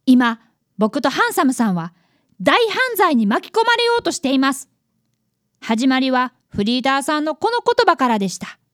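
A very high, lively boy's voice acted by a woman, close and dry. He is an earnest young apprentice detective in a radio drama, polite and dramatic in the way he tells things. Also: moderate pace, dry recording. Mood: earnest narrating. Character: earnest excitable eleven-year-old boy apprentice detective. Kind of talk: radio drama narration and dialogue.

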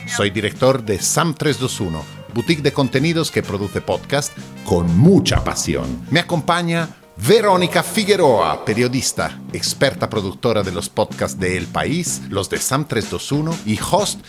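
A man's voice: mid-range voice, very animated, moderate pace, faint music behind. A middle-aged man with an Italian accent speaking Spanish as a conversational podcast host.

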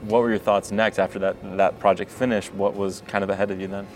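Quick-talking low-voiced man interviewer. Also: level tone, soft background music. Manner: quick, friendly, stumbling restarts. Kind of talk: casual interview. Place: convention floor.

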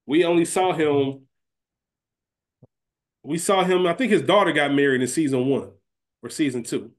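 A man's voice: fairly high pitch, moderate pace. Informal male podcast co-host, slangy. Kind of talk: casual chat, fantasy draft banter.

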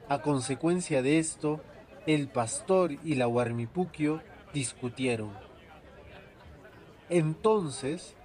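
Male storyteller, fairly high voice, slow measured delivery of folk narration. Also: darkish tone. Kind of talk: scripted folk tale narration.

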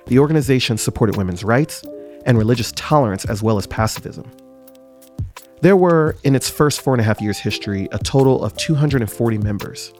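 A man hosting a podcast, reading a scripted history segment in a measured way. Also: medium pitch, darkish tone. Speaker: podcast host.

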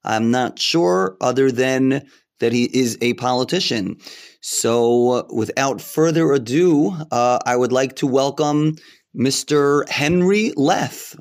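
A man hosting a comedy podcast, asking questions slowly and deadpan. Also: mid-range voice. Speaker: podcast host.

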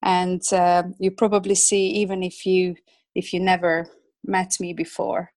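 A Hungarian-born woman in her thirties is talking in English as a guest on a podcast. Her voice sits on the low side and is recorded dry and close. She talks with a lot of hesitant fillers and small laughs. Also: little pitch movement, unhurried, darkish tone, no echo. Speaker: illustrator guest. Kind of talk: casual interview chat.

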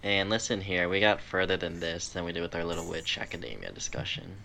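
A man's voice: deep voice, fast talker, gravelly, bright, forceful tone, a little hiss or hum. A man co-hosting a podcast, chatting casually about anime. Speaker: podcast co-host.

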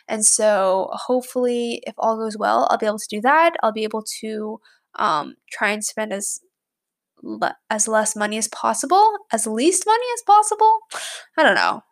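A woman's voice: on the high side, animated, moderate pace. A woman in her twenties, a college student, talking casually and rambling with lots of fillers. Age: 20s. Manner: rambling, many fillers, chatty. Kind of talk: casual chat to camera.

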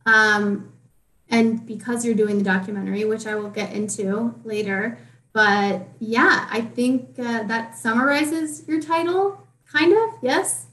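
A friendly woman host who chats casually and leans on lots of ums. Her voice sits a little high and sounds distant from the mic in an echoey room. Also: measured pace, fairly bright tone. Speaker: podcast host. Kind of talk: casual podcast interviewing.

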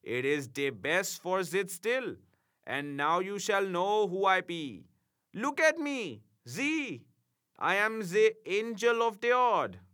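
Brief: male reader doing a blustering German-accented angel, high and loud, pompous and bossy. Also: very animated, takes it slowly, clean tone, full character voice. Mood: scornful. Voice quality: thick broken English, rolling mock-formal phrasing. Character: pompous tipsy male angel, quarrelsome, heavy-handed.

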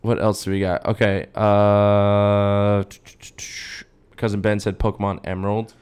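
A young adult American man with a fairly low-pitched voice. He talks informally as a podcast co-host, in loose, slangy language, often saying dude and bro. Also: little pitch movement, unhurried, darkish tone, smooth. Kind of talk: casual chat.

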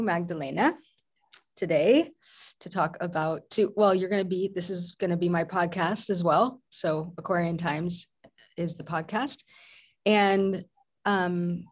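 A woman's voice: fairly low pitch, no echo. A woman who hosts a podcast and speaks in a rambling, casual way, with many ums and restarts. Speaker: podcast host. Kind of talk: casual podcast intro and interview.

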